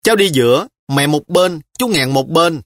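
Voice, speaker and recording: A man's voice: a little high, animated, moderate pace, a bit bright, dry recording, clean studio sound, voice pushed up. A man voicing a stubborn, sulky little girl of about ten who pleads and insists in an audiobook. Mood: insistent. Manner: pleading, insistent child's whine.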